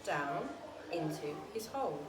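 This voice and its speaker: A woman reading a children's bedtime story aloud in an expressive, dramatic storytelling style. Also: low-pitched, measured pace, over a noisy crowd. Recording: roomy, distant mic with faint noise.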